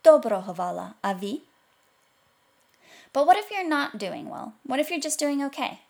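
Higher-voiced woman teaching phrases. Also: very animated, a little mellow. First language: English. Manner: clear, slow repetition of foreign phrases. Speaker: language podcast instructor. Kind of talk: scripted podcast lesson.

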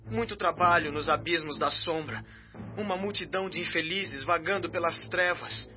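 An actor playing an urgent rescue-team messenger, declaiming a formal report in a radio drama. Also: male voice, fairly high pitch, moderate pace, natural voice. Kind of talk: radio dispatch announcement, dramatized. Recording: dull lo-fi radio drama with music.

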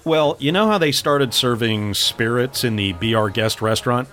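A man's voice: mid-range voice, normal speed, fairly bright tone, faint music behind, close to an everyday voice. A man playing a cheeky, boastful voiceover announcer in a comedy audio drama, coy and teasing.

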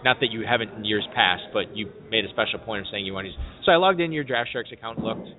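A man hosting a casual fantasy football podcast live from an event. He talks fast, jokes around and keeps the talk moving with questions. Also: on the low side, a little hiss or hum. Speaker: podcast host. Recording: phone-like narrow sound.

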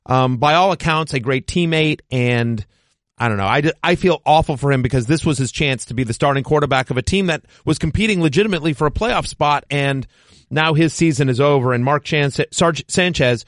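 A man in his forties hosting a casual sports podcast, joking and animated. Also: a little high, brisk, no room sound, clean studio sound. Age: 40s. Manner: animated, self-deprecating joker. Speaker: podcast host.

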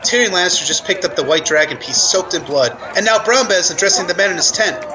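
A man's voice: sits high, quick pace, voice pushed up, close to an everyday voice, rough recording. A man playing an urgent field correspondent reporting live from a battle in a radio news play. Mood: tense, alarmed. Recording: loud battle crowd noise behind. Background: battlefield crowd effects.

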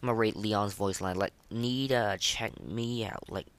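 A man's voice: fairly low pitch, normal speed, fairly bright tone. A young man hosting a casual, unscripted podcast of game ratings in a hesitant, rambling, informal way. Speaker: podcast host.

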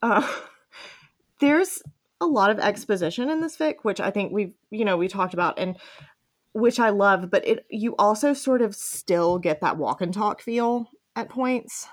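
A woman's voice: medium pitch, expressive, measured pace. A woman hosting a podcast, chatting casually and enthusiastically about fan fiction, swearing freely. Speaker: podcast host.

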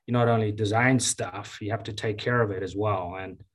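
A man in his fifties from Zambia, a county engineer giving relaxed, chatty interview answers with many fillers and restarts. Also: on the low side, moderate pace. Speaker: county engineer and public works director.

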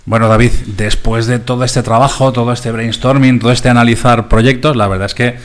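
A man's voice: medium pitch, fairly even intonation, fairly quick. A man with a Spanish accent from Spain hosting a radio programme in an informal, conversational and explanatory way.

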